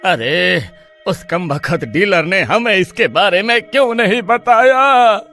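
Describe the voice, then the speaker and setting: An excitable young husband from a spooky storybook, voiced by a man, with a fairly high voice and a slow, drawn-out delivery. He cries out loudly in panic, with faint music behind. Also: heavily acted. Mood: angry. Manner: loud panicked cries for help. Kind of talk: Hindi storybook voice acting.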